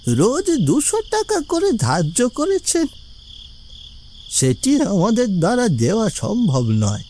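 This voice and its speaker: A man's voice: high voice, dark tone, slight background noise, exaggerated voice. A man playing a humble older village shopkeeper in a cartoon, respectful and pleading as he airs his grievance. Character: humble elderly village shopkeeper, deferential but aggrieved. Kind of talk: cartoon voice acting.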